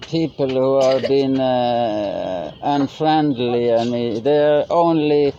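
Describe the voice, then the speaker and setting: A man's voice: mid-range voice, warm tone, a little hiss or hum. A middle-aged Norwegian man in casual outdoor chat, speaking English with a Norwegian accent and often stopping to search for words. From Norway. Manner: halting, searching for English words with many restarts. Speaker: vlogger. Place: outdoors by a river. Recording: slightly dull lo-fi recording.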